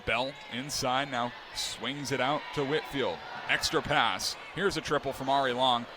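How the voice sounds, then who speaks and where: A young man calling live games as a play-by-play announcer, steady between plays and climbing sharply in pitch and volume on big moments. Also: a little slow, noisy background. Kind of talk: live sports play-by-play commentary.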